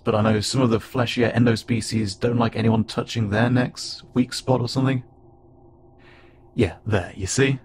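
A man voicing a friendly, curious, slightly awkward alien spacefarer in a conversational audio roleplay, speaking haltingly and wryly. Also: on the low side, noisy background, close to an everyday voice. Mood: explaining, friendly. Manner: casual, halting, gently wry. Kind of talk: conversational audio roleplay acting to an unheard listener.

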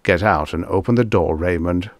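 A man's voice: low-pitched, normal speed, no room sound, clean studio sound. A man voicing a rich, impatient bully in an audiobook story, with a curt, growling manner. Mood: commanding.

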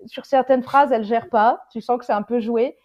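A woman's voice: a little high, steady tone, normal speed, a little mellow. A woman on a panel chatting casually in informal, slangy language over a video call. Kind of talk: casual chat. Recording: muffled video call audio.

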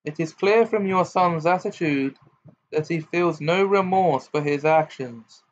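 A man's voice: fairly high pitch, measured pace, voice pushed up, lightly acted. A man voicing a formal male official who speaks hastily and then firmly. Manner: hasty, then firm and formal. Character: flustered but dogged male official. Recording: dull lo-fi recording.

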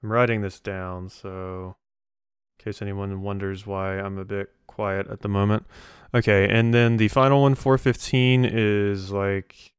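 A man's voice: lowish voice, steady tone, a little slow, clean tone, dry recording, studio quality. A man giving casual game commentary, musing to himself as he works things out. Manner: thinking aloud, musing to himself. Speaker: let's play host.

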